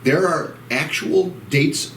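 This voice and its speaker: A man's voice: fairly high pitch, takes it slowly, fairly bright tone. A man co-hosting a casual real estate chat show, rambling with restarts and upbeat sign-offs, with a soothing voice. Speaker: co-host of a title company show. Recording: roomy, distant mic, faint background noise.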